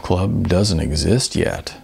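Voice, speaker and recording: A man voicing an unenthusiastic young stoner club owner in an audiobook, with a slow, flat, dismissive drawl. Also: deep voice, creaky, slightly rough, pitched below the performer's own voice, full character voice.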